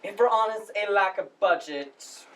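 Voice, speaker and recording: A woman's voice: medium pitch, measured pace, fairly bright tone, natural voice. A woman playing a pompous, earnest drama society director giving a formal comic welcome speech on stage. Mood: awkwardly earnest. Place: school showcase stage, recorded from the audience. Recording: noisy, distant stage recording with clicks.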